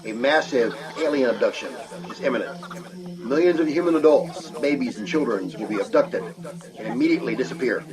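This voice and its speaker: A man's voice: medium pitch, animated, fairly quick, a crowd in the background, close to an everyday voice, poor audio. A man playing a solemn, emphatic doomsday preacher delivering an urgent, ominous warning, as a call-in pastor in an audio drama. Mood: grave, urgent. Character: grave doomsday preacher warning of mass abduction. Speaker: pastor calling in to a show. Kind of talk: urgent apocalyptic warning monologue.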